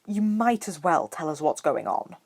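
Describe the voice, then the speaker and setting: A woman's voice: low voice, sing-song, normal speed, a little mellow, husky, no room sound, studio quality, exaggerated voice. A woman voicing a snooty, sarcastic schoolboy in an audiobook, drawling and needling. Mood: mocking.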